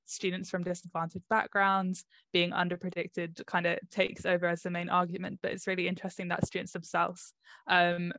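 A woman co-hosts an education podcast, her voice sitting on the low side. The sound is dry and close, with no room around her. She moves between scripted links and thoughtful, slightly hesitant reflection. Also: a bit bright, dry recording. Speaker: podcast co-host and interviewer.

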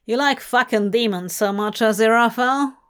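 A woman's voice: on the high side, animated, takes it slowly. A woman voicing a furious, hateful male archangel in a story reading, growling and mocking as he threatens. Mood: snarling. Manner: growling, snarling, roaring. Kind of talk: dramatic audiobook-style fiction reading.

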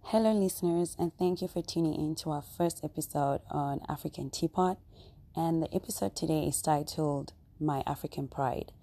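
A woman's voice: low-pitched, moderate pace. A young woman from Cameroon with a Cameroonian accent, hosting a podcast and telling a personal story in an earnest, reflective way. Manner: earnest, reflective, with frequent fillers. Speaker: podcast host.

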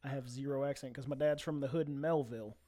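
An American man chatting casually as a fill-in podcast guest, telling stories in an animated way and often quoting what was said. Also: medium pitch, quick pace. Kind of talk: casual chat, storytelling.

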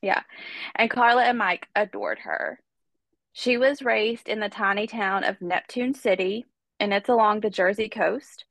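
A woman's voice: mid-range voice, fairly even intonation, unhurried, fairly bright tone, dry recording. A woman hosting a podcast, telling a true-crime story in a chatty, casual way while stuffed up with a cold. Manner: chatty, conversational, many fillers. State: congested with a cold. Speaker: podcast host.

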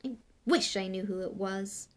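Woman voicing a sneering, spoiled schoolboy with creaky edge. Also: medium pitch, lively intonation, moderate pace, fairly bright tone. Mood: petulant. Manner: scornful, impatient, boastful. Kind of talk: audiobook character voice.

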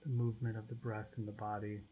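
A man leading a guided meditation as its guide, speaking in short, spacious phrases with long pauses between them. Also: fairly low pitch, level tone, warm tone, a little hiss or hum, rough recording.

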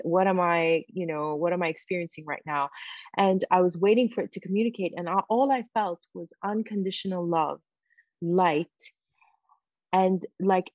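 A woman's voice: medium pitch, darkish tone, dry recording, highs cut off. A woman whose first language is Farsi, telling a personal story as an interview guest, reflective and full of fillers like "sort of" and "you know".

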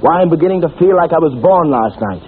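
A man's voice: fairly high pitch, animated, fast talker, husky. A man acting a lovestruck, eager young army officer in a 1940s radio play, pleading and easily flustered. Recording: tinny, noisy old radio recording.

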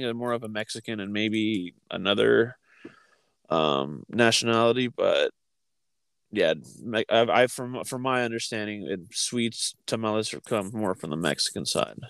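A man with a smooth, resonant voice talks casually, recorded very dry. He co-hosts a chat and often halts and restarts as he thinks aloud. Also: on the low side, normal speed, fairly bright tone, dry recording. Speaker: podcast co-host.

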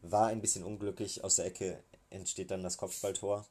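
A man with a cold talks through a football match he just watched, his voice low and dark. He speaks in a level, even tone with little melody. He pauses often with filler sounds as he works through his thoughts. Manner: hesitant, many filler sounds, thinking aloud. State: has a cold. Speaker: football fan reviewing a match. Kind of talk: casual spoken match recap.

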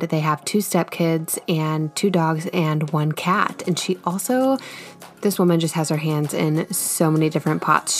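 A woman hosting a podcast and opening the episode in a casual, gushing way, nasal and sniffly from a cold and talking fast. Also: low-pitched. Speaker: podcast host.

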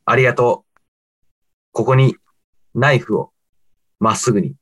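A man's voice: mid-range voice, unhurried, husky. A man playing an earnest young student who is secretly an alien, in an amateur radio drama. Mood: pleading, solemn.

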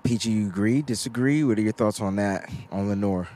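A man hosting a livestreamed sports show, talking in a loud, animated, joking way. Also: lowish voice, a little fast. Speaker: podcast host. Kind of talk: casual sports chat.

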